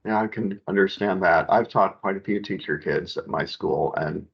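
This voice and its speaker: Deep gravelly-voiced man on a video call. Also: moderate pace. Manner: relaxed, chatty, with frequent fillers. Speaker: guest speaker, elementary music teacher. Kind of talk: casual video call conversation. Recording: dull lo-fi video call audio.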